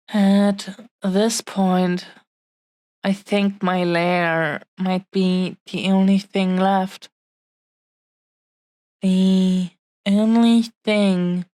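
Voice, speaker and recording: A woman's voice: medium pitch, a little mellow, no echo. A woman voicing an affectionate, possessive dragon-woman fiancée in an intimate romantic audio roleplay, with tender, lingering delivery. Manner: tender, unhurried, pausing delivery. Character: affectionate, possessive young dragon-woman fiancée cherishing her beloved treasure.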